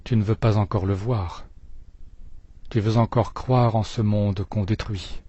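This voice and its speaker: Male narrator for spoken-word poetry, low and mellow, solemn phrasing with pauses, dull close sound. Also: steady tone, slightly rough, dry recording. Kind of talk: poetic spoken-word recitation.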